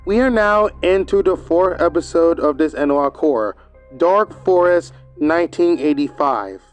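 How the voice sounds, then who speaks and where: A man with a notably high voice talks through a review in a loose, rambling way. He stumbles and restarts now and then as he recaps. Faint music plays quietly underneath. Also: expressive, a little slow, smooth. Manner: rambling, hesitant recap with fillers. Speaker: video reviewer. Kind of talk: casual video commentary.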